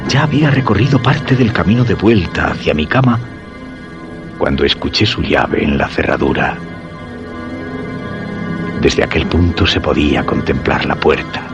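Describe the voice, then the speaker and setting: A man's voice: a bass voice, moderate pace, pauses often, lo-fi sound. An actor from a suspense radio drama playing a terrified husband recounting his ordeal, in a Spanish accent from Spain, over music. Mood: tense dread. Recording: music behind the voice.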